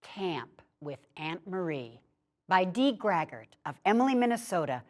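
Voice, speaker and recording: A woman reading a personal childhood memoir aloud in a measured storytelling way. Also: fairly low pitch, sing-song, over music. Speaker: story reader.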